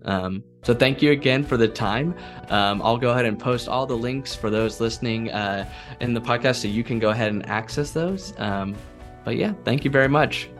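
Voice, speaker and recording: A man's voice: medium pitch, moderate pace, faint music behind. A man hosting a podcast in a casual, rambling and appreciative conversational way. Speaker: podcast host.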